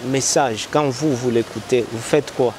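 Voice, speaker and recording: A man's voice: mid-range voice, a little slow, background noise. A man hosting an interview, asking probing questions with many fillers. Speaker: interviewer, host.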